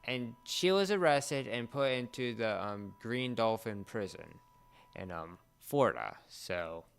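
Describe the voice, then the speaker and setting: A young man co-hosting a podcast in casual chat, often halting as he searches for words. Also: mid-range voice, lively intonation, vocal fry, smooth. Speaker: podcast co-host. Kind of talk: casual chat about entertainment.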